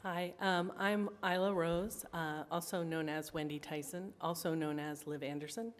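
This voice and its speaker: A woman with a very low voice introduces herself on a panel. She hesitates and undercuts herself with humor. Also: steady tone, normal speed. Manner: self-deprecating, hesitant. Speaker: panelist, attorney and author.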